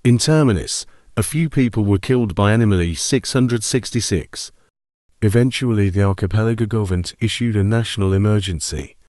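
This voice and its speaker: Male narrator for analog horror: low, mellow, very dry sound, formal stiff read. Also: a little fast, dry recording.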